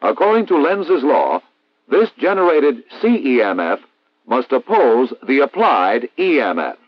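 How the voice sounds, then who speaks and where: An American man reading a scripted instructional narration in a formal, measured, didactic way, as in a 1960s military training film. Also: medium pitch, big pitch swings, no room sound. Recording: dull vintage 1960s film soundtrack.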